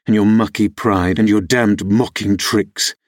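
Man acting gruff, surly young rustic. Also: lowish voice, fairly even intonation, measured pace, dry recording, studio quality, natural voice. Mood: angry, gruff. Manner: gruff, growling, ferocious.

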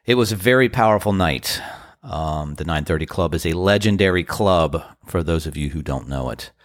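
A man in his fifties from the Washington DC area, hosting a podcast in a casual, earnest, rambling monologue, his voice deep and tired after a show. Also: lively intonation, moderate pace, dry recording, studio quality. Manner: earnest, rambling, grateful storytelling. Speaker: podcast host and band musician.

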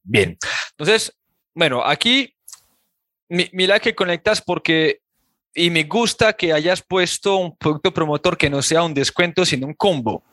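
A Colombian man hosts a casual interview, dropping quick approving remarks and praise. His voice sits a touch high, recorded dry and close. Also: lively intonation, sharp tone, dry recording. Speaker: interview host.

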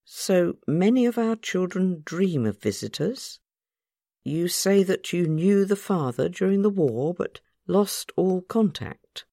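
A woman voicing a cautious, efficient yet compassionate orphanage matron in a story, brisk and formal in manner. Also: on the low side, lively intonation, warm tone, dry recording, studio quality, voice pushed up, heavily acted.

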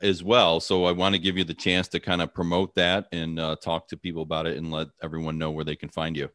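A man's voice: low voice, monotone, quick pace, fairly bright tone, no room sound, studio quality. A man hosting a podcast interview in a casual, friendly way, with many fillers and repeated words. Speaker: podcast host.

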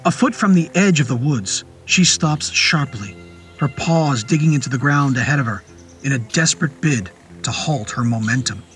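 A man narrating a scripted horror story in a deliberate, literary and descriptive manner. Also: mid-range voice, expressive, a bit bright. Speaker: narrator of a horror fiction podcast. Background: music and rain sound effects.

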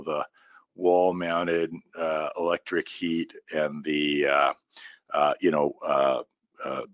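A man's voice: sits low, dry recording, lo-fi sound. Tired-voiced man hosting a business podcast. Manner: folksy, with many fillers and baseball analogies. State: slightly raspy, tired voice. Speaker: podcast host and company founder. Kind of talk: solo business podcast monologue.

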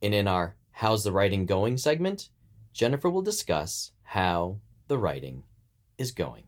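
A man's voice: lowish voice, big pitch swings, a little slow. A man co-hosting a podcast as its producer, asking questions and talking conversationally.

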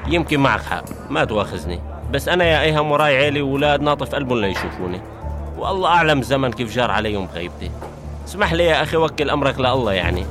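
A man's voice: medium pitch, big pitch swings, racing, lightly acted. An actor playing an apologetic companion torn toward his family, speaking, pleading and offering blessings. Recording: music bed behind the voice. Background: music.

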